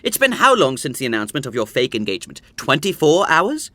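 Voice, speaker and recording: A man's voice: mid-range voice, huge pitch swings, fast, bright, forceful tone, no echo. An actor playing a theatrical, condescending older brother in a comedy audio drama, savoring his words as he meddles. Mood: smugly persuasive. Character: theatrical, smug, meddling older brother and self-appointed schemer. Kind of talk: modern romantic comedy audio drama acting.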